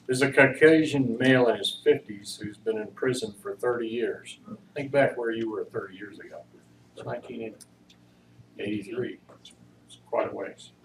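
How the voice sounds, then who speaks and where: A man reading a reflection aloud at a church service, with deliberate, emphatic phrasing. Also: medium pitch, darkish tone. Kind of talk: introduction and read-aloud reflection. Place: church room, recorded from a distance. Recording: roomy, distant mic, faint noise.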